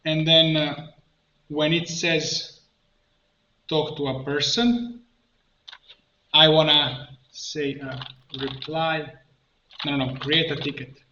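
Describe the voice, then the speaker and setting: A man presenting an improvised software tutorial, thinking aloud haltingly as he works at a computer. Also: a little high, flat delivery. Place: indoor room at a computer. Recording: dull, echoey room, clear background noise. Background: keyboard typing and mouse clicks.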